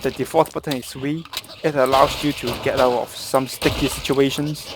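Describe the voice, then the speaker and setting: A man's voice: medium pitch, flat delivery, background noise. A man from Hong Kong, a native Cantonese speaker with a Hong Kong English accent, narrating a game tutorial and often restarting and correcting himself. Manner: halting, with frequent restarts and self-corrections. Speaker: gameplay guide creator.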